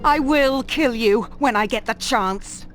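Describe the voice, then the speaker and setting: A woman's voice: a little high, a little slow, a bit bright, faint music behind. An actress playing a proud, fiery young Templar woman in a video game, urgent and indignant. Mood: furious. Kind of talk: video game cutscene acting.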